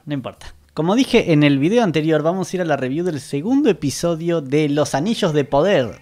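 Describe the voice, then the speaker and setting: Young man, Argentine accent, medium-pitched voice, sardonic, colloquial, opinionated video reviewer, studio mic. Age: young adult. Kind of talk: casual, opinionated series review to camera. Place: indoor studio with green screen. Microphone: studio microphone on boom arm.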